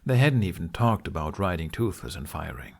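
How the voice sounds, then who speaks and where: A man with a fairly low voice narrates a fantasy audiobook. He reads scripted prose as a storyteller. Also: big pitch swings, a little fast, creaky.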